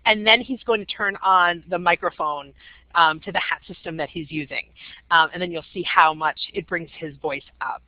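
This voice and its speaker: A woman's voice: fairly low pitch, moderate pace, no echo. Woman presenter with many fillers, dry dull audio. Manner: conversational, frequent "um" fillers. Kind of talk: webinar presentation.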